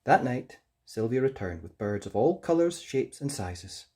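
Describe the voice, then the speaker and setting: A woman narrating a children's fairytale as an expressive storyteller. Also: very deep voice, a little slow.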